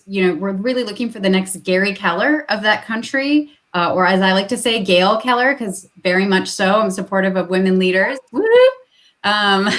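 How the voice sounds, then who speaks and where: A woman talking business as a guest on a video call, upbeat and full of fillers, repeating her phrases for emphasis. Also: mid-range voice.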